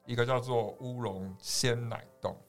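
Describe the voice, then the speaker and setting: A man's voice: medium pitch, fairly even intonation, unhurried. Taiwanese man chatting over faint music. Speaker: podcast co-host. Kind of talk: casual podcast banter. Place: recording studio.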